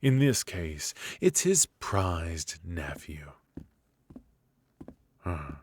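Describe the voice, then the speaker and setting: A man playing a bitter, haughty royal mage in an intimate fantasy ASMR roleplay, speaking in a measured, aristocratic, dryly mocking way. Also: deep voice, sing-song, darkish tone, a little hiss or hum, exaggerated voice. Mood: bitter, sardonic. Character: bitter, sardonic, haughty royal mage passed over for succession. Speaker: amateur voice actor.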